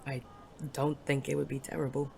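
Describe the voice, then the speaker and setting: A performer playing a world-weary, self-doubting male private eye and war veteran in an audio drama, gruff, hesitant and prone to swearing. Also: mid-range voice, completely flat delivery, fairly quick, a little mellow, close to an everyday voice. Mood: weary, reluctant. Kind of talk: intimate audio drama dialogue. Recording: clearly noisy background ambience.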